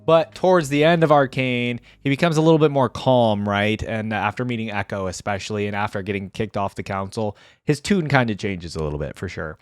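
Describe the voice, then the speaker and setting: A man giving casual reaction commentary as a host, talking in an animated, conversational way. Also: lowish voice, fairly quick, hardly any pauses, clear, resonant tone, no echo, clean studio sound, faint music behind.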